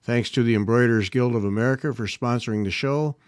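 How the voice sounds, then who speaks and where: A man hosting a podcast and interviewing a guest, smooth on sponsor reads and halting when he asks questions. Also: mid-range voice, darkish tone, dry recording, studio quality. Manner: halting, restarts and fillers when asking. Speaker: podcast host.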